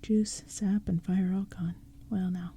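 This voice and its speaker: A woman's voice: medium pitch, level tone, slow, dark tone, slightly rough, heavily acted. Woman whispering as a coaxing old man. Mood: musing. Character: mysterious old man coaxing a band of boys. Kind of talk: whispered storybook reading.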